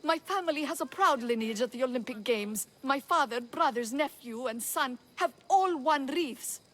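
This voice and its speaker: A woman's voice: sits high, expressive, normal speed, fairly bright tone. A desperate pleading mother. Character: desperate accused noble mother.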